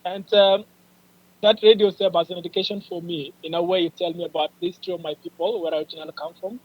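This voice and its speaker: A man in his twenties with an East African accent, telling his own story as a panelist on a video call, eager and halting. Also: high-pitched, steady tone, dull audio. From South Sudanese, raised in Ethiopia and Kenya. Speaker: panelist, youth advocate. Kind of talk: personal storytelling in a panel answer.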